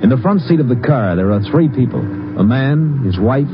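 An American man giving measured, matter-of-fact scripted narration in 1950s radio drama style. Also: mid-range voice, lively intonation, over music, lightly acted. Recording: muffled old radio recording.

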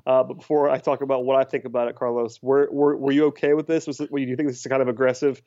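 Male sports podcast host, rapid and wry, dull dead-dry recording. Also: medium pitch, flat delivery, warm tone, dry recording. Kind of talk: casual sports chat.

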